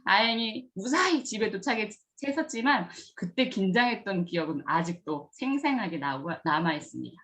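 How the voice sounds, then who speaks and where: A woman's voice: fairly quick, few pauses. A middle-aged woman, a kindergarten director giving an earnest storytelling career talk to students. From rural Gyeongsang region of Korea. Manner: earnest, acts out remembered dialogue with lively pitch jumps.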